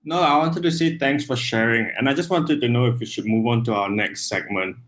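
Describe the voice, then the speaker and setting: A man joins a livestream chat as a guest puzzle setter. His voice sits at a middle pitch. He speaks in short, relaxed bits. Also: fairly quick.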